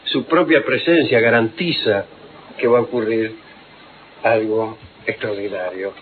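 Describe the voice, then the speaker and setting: A man hosting radio, telling ironic, digressive stories with quick asides. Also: lowish voice. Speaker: radio host. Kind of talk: humorous radio talk. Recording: lo-fi phone-like archive radio with noise.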